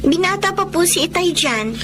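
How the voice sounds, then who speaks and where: A very high, girlish voice plays a soft-hearted younger sister in a radio drama. She speaks politely and courteously. Loud music runs underneath. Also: expressive, moderate pace. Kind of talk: Filipino radio drama acting.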